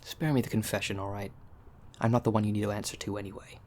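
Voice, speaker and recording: A man's voice: on the low side, level tone, fast, slight background noise, natural voice. A man playing a weary, irritable law officer in an audio roleplay, dry and exasperated. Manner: exasperated, dry, matter-of-fact.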